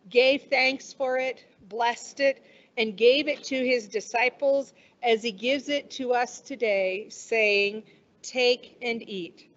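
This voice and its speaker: Middle-aged woman pastor, slow and solemn. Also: on the high side, dull audio. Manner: measured, warm liturgical delivery with slow solemn phrases. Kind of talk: leading communion liturgy. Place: church sanctuary. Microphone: stand mic at a lectern, far camera.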